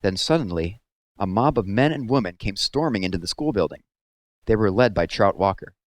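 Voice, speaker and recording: A man narrating a story aloud in a measured, serious storytelling manner. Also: lowish voice, expressive, dry recording.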